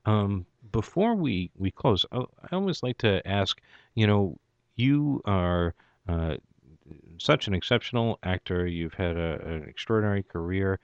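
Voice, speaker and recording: A man hosting an interview, asking questions haltingly with many restarts and fillers. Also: sits low, animated, clear, resonant tone, no room sound. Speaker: radio interviewer. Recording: dull lo-fi dry sound.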